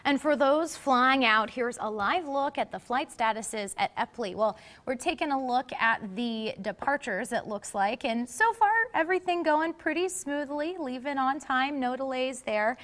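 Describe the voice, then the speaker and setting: A woman's voice: high voice, unhurried, hardly any pauses, fairly bright tone. An American woman working as a local TV news anchor, presenting to viewers.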